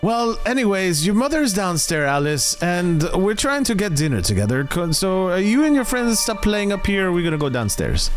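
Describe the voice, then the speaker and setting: A game master with an animated delivery, casually improvising scenes at a tabletop roleplaying game. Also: medium pitch, normal speed, few pauses. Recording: music bed behind the voice. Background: music.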